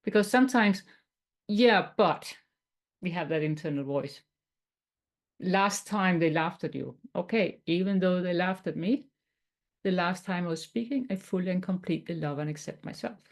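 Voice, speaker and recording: A woman from Denmark with a Danish accent, teaching tapping in a webinar in a gentle, explanatory way with some non-native phrasing. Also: lowish voice, moderate pace. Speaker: tapping coach.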